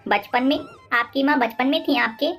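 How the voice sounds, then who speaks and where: A woman voicing a naive young daughter-in-law, deferential and full of questions, in an animated moral story. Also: high-pitched, normal speed. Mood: chatty, curious.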